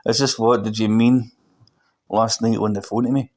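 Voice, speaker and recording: A man's voice: on the low side, level tone, moderate pace, a little mellow, natural voice. A man voicing a concerned Glaswegian pastor talking to an old friend in a story reading, matey and probing. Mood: puzzled.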